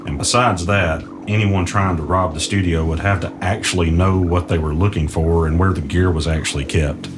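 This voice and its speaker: A man's voice: low-pitched, fairly even intonation, normal speed, few pauses, noisy background, close to an everyday voice. A man playing an easygoing, understated recording studio guy in an audio drama. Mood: puzzled, wary. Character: laid-back young man running a recording studio, puzzled investigator. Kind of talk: naturalistic audio drama acting with first-person narration.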